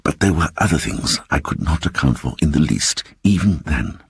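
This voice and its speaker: An actor voicing a timid little man who earnestly and softly recounts an eerie adventure in a dramatic audiobook reading. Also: very deep voice, normal speed, gravelly, husky, dry recording, clean studio sound, full character voice. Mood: wondering. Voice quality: soft voice. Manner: hesitant, earnest, growing excited.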